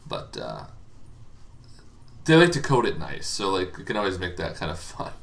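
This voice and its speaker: A man's voice: sits low, wildly swooping pitch, normal speed, vocal fry. A man hosting a casual, informal explainer on tabletop game monsters, talking in a rambling, hesitant way as if thinking aloud.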